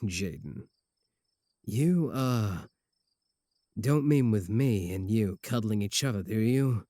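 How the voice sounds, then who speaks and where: A man's voice: on the low side, expressive, slow pace, dark tone, no room sound. Cocky, lovesick male werewolf played by a man, close and dry. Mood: dry bickering. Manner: earnest boasting, dry sarcastic jabs. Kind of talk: comedic ASMR roleplay acting.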